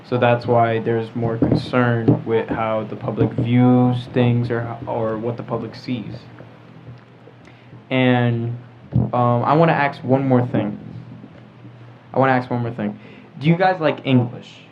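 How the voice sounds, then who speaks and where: A man's voice: mid-range voice, flat delivery, takes it slowly, soft background music. A teenage American boy hosting a podcast in a casual group discussion. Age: teens. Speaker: podcast host. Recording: muffled lo-fi podcast with clear background noise.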